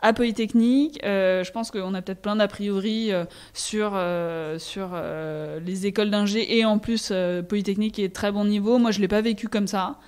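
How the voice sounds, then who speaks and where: A woman's voice: mid-range voice, steady tone, normal speed, hardly any pauses, darkish tone, clear, resonant tone. A young woman from France with a French accent, talking candidly and casually as an interview guest, often hesitating as she searches for words. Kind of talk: casual conversational interview, personal account.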